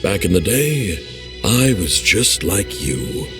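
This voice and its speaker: A hammy, boastful stage actor. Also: male voice, low-pitched, very animated, slow, over music, heavily acted. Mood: theatrical. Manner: grandiose, hammy, laughs at himself. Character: theatrical male stage actor, boastful and playful. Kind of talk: video game voice acting.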